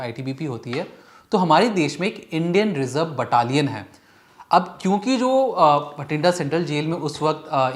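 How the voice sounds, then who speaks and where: A man working as a crime news reporter, explaining a report step by step with rhetorical questions. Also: a little high.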